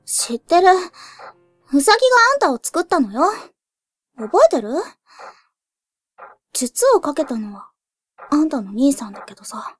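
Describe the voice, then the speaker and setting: A woman voicing a bitter, spiteful female rabbit spirit in a radio drama, speaking in cold, breathy half-whispers. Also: high-pitched, sing-song, normal speed, pauses often, dry recording, soft background music. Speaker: rabbit character (ウサギ). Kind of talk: anime-style radio drama acting.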